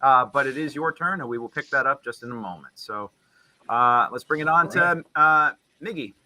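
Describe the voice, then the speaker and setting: A man hosting a tabletop game as game master, narrating in a halting way that often backtracks. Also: medium pitch, bright, forceful tone, rough recording. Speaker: game master and stream host.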